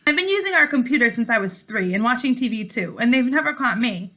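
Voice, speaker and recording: A woman's voice: a little high, lively intonation, fast, pushed and bright. A woman voicing a bold, confident girl in a storybook, breezy and mocking. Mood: teasing, scornful.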